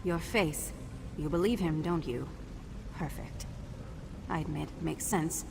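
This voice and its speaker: An actress playing a wry, guarded undercover agent in a video game, with a dry, clipped delivery. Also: female voice, low voice, takes it slowly, gravelly, background noise, heavily acted. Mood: wry, sardonic, then serious, watchful. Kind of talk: video game character acting. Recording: prominent music behind the voice.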